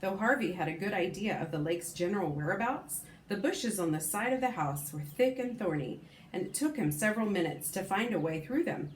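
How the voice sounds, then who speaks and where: A woman teacher reading a novel aloud to her class, expressive and pausing to comment or correct herself. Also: mid-range voice, echoey room, slight background noise, poor audio.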